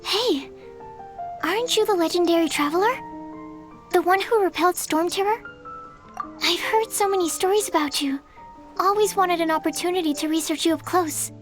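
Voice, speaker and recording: A shy young female alchemist speaks in a high, soft, girlish voice. This video game character is bookish, earnest and haltingly polite. Music sits behind the speech. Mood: intrigued. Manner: timid, halting, apologetic, earnest.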